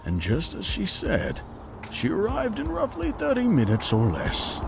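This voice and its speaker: A man's voice: high-pitched, wildly swooping pitch, measured pace, vocal fry, background noise. A man reading storybook narration in a plain, matter-of-fact way.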